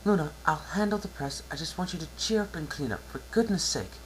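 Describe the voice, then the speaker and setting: Elder pony princess, played by a male reader: higher-pitched, exasperated storybook royal, faint noise on the recording. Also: animated, fairly quick, lightly acted, rough recording. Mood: reasoning, soothing. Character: exasperated elder sister pony princess, guilty but soothing.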